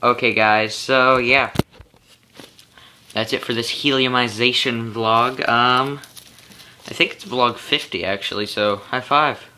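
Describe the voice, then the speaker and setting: Slow-talking teen guy on a noisy webcam. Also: mid-range voice, steady tone, sharp tone. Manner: chatty, self-amused, thinking aloud. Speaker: vlogger. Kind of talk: casual rambling vlog. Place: small bedroom, indoors. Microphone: webcam at arm's length. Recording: noisy home webcam recording.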